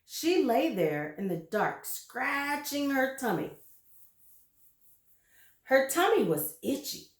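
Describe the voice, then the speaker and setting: A woman reading a children's story aloud with deliberate, dramatic emphasis and brief asides to her listeners. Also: high-pitched, sing-song, roomy sound.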